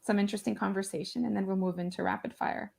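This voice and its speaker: A woman's voice: medium pitch, fairly even intonation, quick pace, vocal fry, darkish tone. A woman hosting an interview on a video call, upbeat and loose in her phrasing, often laughing. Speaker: host. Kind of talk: conversational interview.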